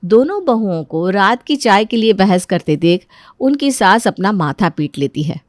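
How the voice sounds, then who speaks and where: A woman's voice: mid-range voice, animated, measured pace, dry recording, studio quality. A woman reading scripted narration as the storyteller of a family tale.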